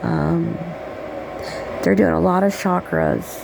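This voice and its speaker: A woman with a raspy voice giving a rambling, affectionate spiritual message, checking in with "okay?" as she talks. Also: lowish voice, steady tone, slow, background noise. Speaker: spiritual channeler. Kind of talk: casual spiritual message monologue.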